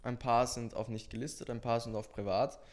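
A man's voice: mid-range voice, level tone, brisk. Young Austrian man, casual podcast guest. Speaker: podcast guest, social media creator. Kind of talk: casual interview conversation.

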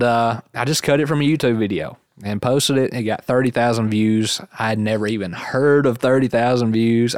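A man's voice: mid-range voice, clear, resonant tone, no room sound, studio quality. Easygoing man hosting a podcast. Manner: rambling, easygoing, laughs often. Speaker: podcast host. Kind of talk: casual podcast chat.

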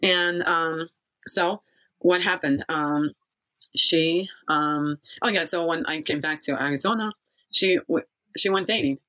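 Halting, rambling storyteller from Kazakhstan. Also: mid-range voice, pushed and bright, rough recording. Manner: rambling, halting, often loses thread. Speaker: interviewee. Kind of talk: personal life-story interview, casual speech.

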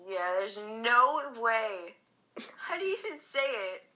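Young woman, slow and hesitant, bright voice, dull lo-fi recording. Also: fairly high pitch, big pitch swings. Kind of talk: casual chat with friends.

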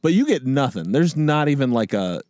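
A man's voice: mid-range voice, big pitch swings, normal speed, darkish tone, dry recording, clean studio sound. A young man co-hosting a comedy podcast, playful and teasing in casual chat, laughing often. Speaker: podcast co-host.

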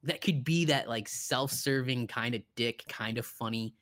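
A man's voice: mid-range voice, normal speed, fairly bright tone. A man co-hosting a podcast, chatting casually and enthusiastically about films, with some loose swearing. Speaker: podcast co-host. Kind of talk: casual film chat.

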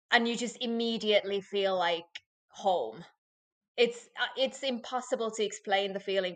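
A woman in her late twenties, a podcast guest talking loudly and with animation in a casual interview, often laughing. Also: mid-range voice. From Finland. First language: Finnish.

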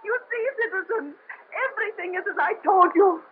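Brief: desperate young aristocrat girl, very high voice, tinny hissy vintage radio sound. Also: a little fast. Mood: coaxing. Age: young adult. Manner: pleading, breathless. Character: frightened young noblewoman posing as laundress's daughter. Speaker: radio drama actress. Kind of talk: 1930s radio acting. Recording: tinny lo-fi old radio recording with hiss.

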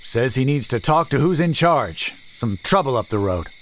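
A man's voice: medium pitch, sing-song, moderate pace, a bit bright, pitched above the performer's own voice. A man doing a blunt wagon hand. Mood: matter-of-fact. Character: plain-spoken male wagon hand.

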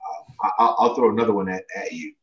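A man's voice: fairly low pitch, fast talker. A middle-aged man talking casually and emphatically about sports as a podcast guest, often repeating words for stress. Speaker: podcast guest, basketball association president. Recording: muffled, roomy, distant mic.

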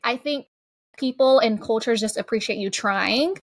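A woman from Georgia whose first language is Spanish, co-hosting a podcast in quick, lively chat. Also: a little high, no room sound. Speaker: podcast co-host. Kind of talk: casual podcast conversation.

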